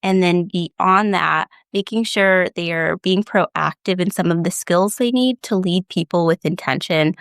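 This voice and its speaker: A woman explains her work in calm, well-ordered sentences. There is a slight gravel of fry in her voice, though it stays smooth and resonant, and her pitch sits a little on the low side. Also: a little slow, few pauses, no echo, clean studio sound. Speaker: HR guest. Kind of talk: conversational interview answers.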